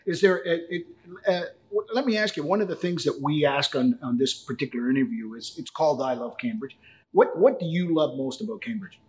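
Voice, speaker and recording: A man's voice: on the high side, animated, brisk, darkish tone. A man hosting a casual interview, affirming often with repeated short agreements. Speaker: interviewer, show host.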